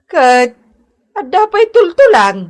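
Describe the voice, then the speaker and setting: An actress playing an elderly Ilocano wife, nosy and eager, with drawn-out exclamations in a comedy radio drama. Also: female voice, extremely high pitch, sing-song, exaggerated voice. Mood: excited. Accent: Filipino, Ilocano.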